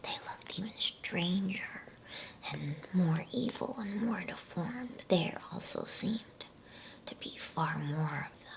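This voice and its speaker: A woman softly whispering an ASMR bedtime story, reading in a slow, soothing manner with pauses between phrases. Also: fairly low pitch, animated, vocal fry, rough recording.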